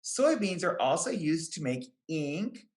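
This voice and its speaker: A woman reading a picture book aloud to young children, with careful, deliberate phrasing. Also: low-pitched, very animated, a little mellow. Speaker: children's book reader, extension educator. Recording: roomy, echoing sound.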